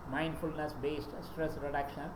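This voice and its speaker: A man's voice: a little high, monotone, moderate pace. Indian-accented man lecturing in an echoey room. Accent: Indian English. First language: Tamil. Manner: reads lists with fillers and restarts. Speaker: presenter. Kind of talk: lecture presentation. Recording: echoey room with background noise.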